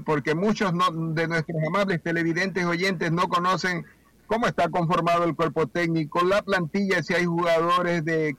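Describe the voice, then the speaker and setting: High-voiced Ecuadorian sports interviewer, a man. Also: fairly bright tone, rough recording. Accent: Ecuadorian, from Guayaquil. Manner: courteous, formal address. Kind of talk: broadcast interview questions.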